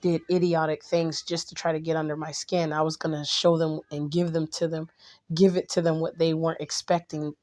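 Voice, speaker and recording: A woman vlogging casually to her viewers, a little hoarse and raspy from a cold, rambling with restarts and blunt asides. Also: low voice, little pitch movement, brisk, darkish tone. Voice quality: slightly raspy. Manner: blunt and outspoken.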